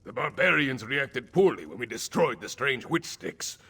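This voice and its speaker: An actor playing a proud, weary, aging northern jarl in a video game, blunt and sardonic, with a gritty voice. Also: male voice, mid-range voice, big pitch swings, moderate pace, bright, forceful tone, husky, in a large hall, exaggerated voice. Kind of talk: video game character acting.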